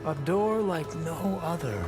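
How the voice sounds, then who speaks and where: An actor playing a grandiose, zealous clan leader in a video game, speaking in a formal, declamatory way. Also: male voice, on the high side, lively intonation, a little slow. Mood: stately, pleased. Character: grandiose, zealous clan leader who thinks himself an ancestor reborn. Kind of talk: video game cutscene acting. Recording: loud music behind the voice.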